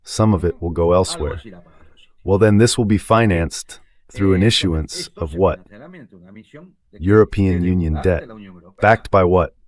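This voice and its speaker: A man's voice: low voice, a little slow, pauses often, darkish tone. A man giving emphatic, sarcastic political commentary as a guest analyst, in a translated voice-over.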